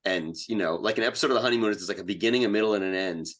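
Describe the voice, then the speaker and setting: Guy with a fairly deep voice, playwright on a podcast, casual and enthusiastic, plain talk. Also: racing, a bit bright. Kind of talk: casual interview chat.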